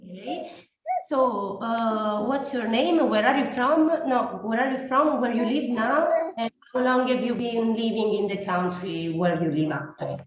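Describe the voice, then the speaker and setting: Very echoey, slow, higher-voiced woman facilitator. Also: a little hiss or hum. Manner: non-native English phrasing. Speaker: meeting facilitator. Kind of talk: online workshop facilitation, explaining steps. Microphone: video call. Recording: very echoey, dull online call audio.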